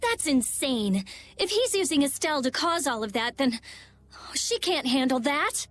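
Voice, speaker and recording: A woman voicing a blunt, anxious, sharp-minded young girl in a video game, with an intense delivery. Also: high-pitched, very animated, a little slow, faint music behind. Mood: worried, insistent. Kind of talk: voice acting in an English video game cutscene.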